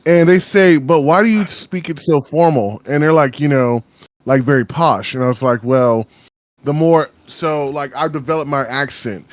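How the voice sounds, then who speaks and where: A man's voice: fairly high pitch, moderate pace, dry recording. A man co-hosting a casual podcast from a small home studio, rambling and swearing with lots of fillers. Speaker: podcast co-host.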